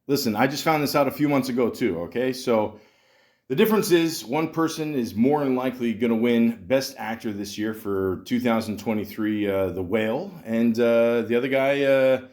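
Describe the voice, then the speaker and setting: A man's voice: mid-range voice, normal speed, a little mellow. A man hosting a podcast, delivering a rambling, profane comic monologue full of restarts. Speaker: podcast host. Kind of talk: casual comedic monologue.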